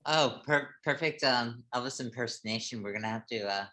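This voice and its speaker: A man hosts a reading over a video call, chatting loosely with many ums and false starts. His voice is bright and a bit tense. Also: medium pitch, fairly quick. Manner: hesitant, full of ums and restarts. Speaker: event host. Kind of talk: casual chat. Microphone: home computer mic on a video call.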